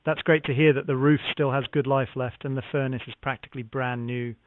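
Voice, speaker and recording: A man handles a scripted property sales call at a brisk clip. He is courteous and formulaic, acknowledging before each question. The sound is a thin telephone line with very little room in it. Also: mid-range voice, steady tone, no room sound. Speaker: real estate acquisitions phone agent. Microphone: phone call. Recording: narrow, tinny phone line.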